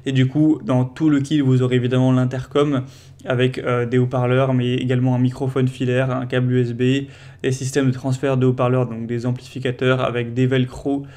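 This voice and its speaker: Even-toned man presenting a product comparison. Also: mid-range voice, fairly quick. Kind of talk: casual product comparison voice-over.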